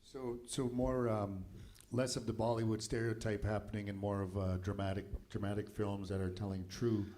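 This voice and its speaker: A man's voice: fairly low pitch, flat delivery, moderate pace, warm tone. A man from Nova Scotia moderating a film festival panel in a loose, chatty way with many fillers. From Nova Scotia, Canada.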